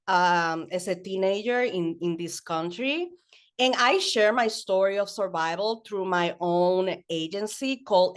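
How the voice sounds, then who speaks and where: A woman whose first language is Spanish, from the Dominican Republic, speaks as a webinar panelist. She takes her time, with an easy, unhurried pace and a voice of middling pitch. She talks in a lively, playful way over a video call. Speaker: panelist, mental health educator. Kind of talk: webinar introduction.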